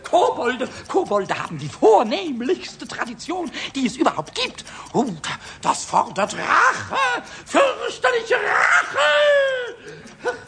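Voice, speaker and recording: A man voicing a cheeky, hot-tempered, childlike little kobold in a children's radio play, squeaky, stuttering and repeating words. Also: slow pace, vocal fry, raised voice, a crowd in the background, exaggerated voice. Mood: outraged. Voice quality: shrill, squeaky. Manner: stuttering excited outbursts, repeats words, cackles. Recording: noisy old radio play recording.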